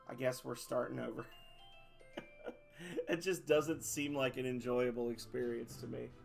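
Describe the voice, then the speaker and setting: A male streamer, hoarse and losing his voice, wraps up his stream in rambling, self-deprecating chat. His medium-pitched voice has a gravelly fry to it. Faint music plays behind him. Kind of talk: casual stream sign-off.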